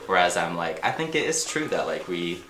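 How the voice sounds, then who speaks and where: An actor and artist speaking casually and haltingly in an interview, telling personal stories with frequent filler words. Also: deep voice, big pitch swings, a bit bright. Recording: echoey room with music underneath. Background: music.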